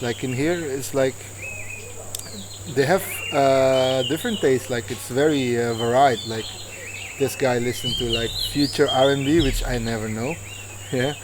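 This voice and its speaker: A man from Indonesia, a musician, talking casually in an interview and often pausing to search for words. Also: mid-range voice, steady tone, background noise. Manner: searching for words, many likes and uhs.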